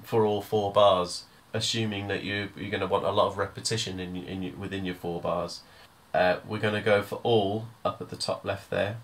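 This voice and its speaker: Low-voiced man giving a tutorial. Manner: informal, hesitant step-by-step explaining. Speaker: music tutor. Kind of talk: hands-on software tutorial.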